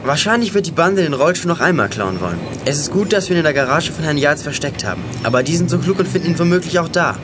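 A man's voice: on the high side, expressive, quick pace, few pauses, noisy background, natural voice. A young male actor playing a clever, bossy schoolboy leader in a detective radio play, commanding and self-assured. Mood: bossy, insistent. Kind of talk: youth radio play acting.

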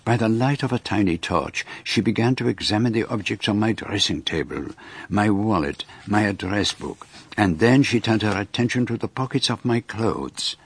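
An actor playing a courteous, shrewd gentleman detective with a French-speaking Belgian accent, precise and formal, in a radio drama. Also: on the low side, normal speed, husky. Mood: measured, sly. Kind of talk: radio drama narration and dialogue.

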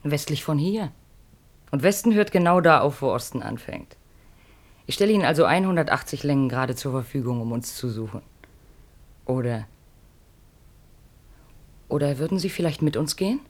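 An actress playing a gentle, wistful mother among fugitive thieves in a 1950s German radio play, speaking in a dreamy, quietly persuasive way. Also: deep voice, expressive, moderate pace, pauses often.

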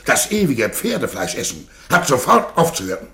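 An actor in a radio play playing a proud but reluctant Germanic prince and warlord, earnest and declamatory. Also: male voice, medium pitch, sing-song, moderate pace, sharp tone. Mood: pompous, forceful. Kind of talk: theatrical radio play dialogue.

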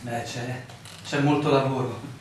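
A man playing a measured, consoling village official who speaks softly in a radio drama. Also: mid-range voice, fairly even intonation, close to an everyday voice. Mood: quietly consoling. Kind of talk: theatrical radio drama acting. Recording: very echoey, distant, noisy room recording.

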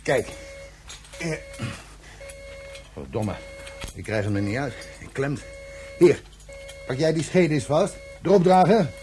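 A man's voice: fairly high pitch, expressive, slow pace, long pauses, mellow, faint music behind. An actor playing a wily, mocking man in a science fiction radio drama, talking in a sardonic, casually taunting way. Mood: feigned casual. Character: wily, mocking man outwitting an armed soldier.

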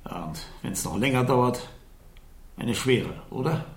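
A man's voice: mid-range voice, expressive, a little slow. An actor playing a concerned, hesitant uncle in his fifties in a German radio crime drama. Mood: worried. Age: 50s. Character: concerned, hesitant fifty-two-year-old bachelor uncle. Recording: roomy, noisy old radio production.

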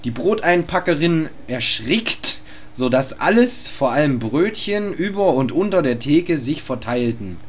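Male narrator for an absurd comic radio play, deadpan and unhurried. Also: fairly high pitch. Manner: deadpan, absurd, crude humor.

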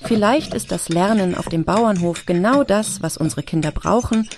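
A woman's voice: mid-range voice, normal speed, darkish tone, slight background noise, lightly acted. A woman playing an earnest, idealistic farm teacher in an audio drama. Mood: earnest, explaining. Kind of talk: German audio drama dialogue.

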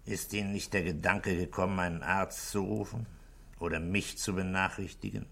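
A police chief inspector in a 1960s German radio drama. His voice is deep yet bright and forceful. He is patient and authoritative, putting short, probing questions and giving commands. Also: fairly even intonation, normal speed, gravelly, heavily acted. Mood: pressing, skeptical.

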